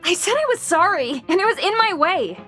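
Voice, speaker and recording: A high-strung woman with a very high voice. She is intense, argumentative and exacting, a perfectionist in an audio dramedy. Light music sits behind her. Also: very animated, brisk, bright, forceful tone, full character voice. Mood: defensive, grudging. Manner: intense, demanding, indignant.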